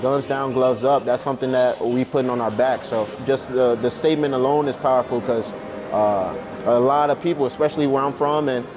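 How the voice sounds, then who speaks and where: A man's voice: mid-range voice, fairly even intonation, a little fast, music in the background. A man speaking earnestly and steadily in a documentary interview.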